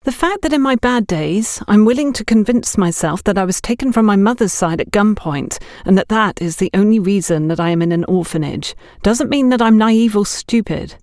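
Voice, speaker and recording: A woman voicing a sharp, suspicious young girl who accuses and interrogates, in an audiobook mystery. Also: medium pitch, lively intonation, normal speed, few pauses, dry recording, studio quality, close to an everyday voice. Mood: suspicious, stern. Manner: accusing, probing.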